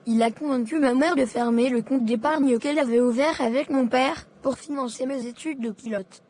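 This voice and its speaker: Woman acting a high-voiced, earnest young boy. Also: normal speed, darkish tone. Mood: sad, confessional. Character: hurt, dutiful schoolboy son named Karl. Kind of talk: dramatic dialogue and confession in an animated short. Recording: music behind.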